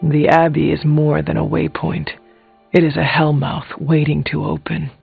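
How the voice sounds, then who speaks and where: Casting: stoic game hero, creaky voice, terse formal delivery, tinny narrow-band sound with music underneath. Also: male voice, on the high side, completely flat delivery, moderate pace. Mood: grimly resolved. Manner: terse, formal, solemn, no contractions. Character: stoic, fatalistic warrior hero, demon's child. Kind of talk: scripted video game character dialogue.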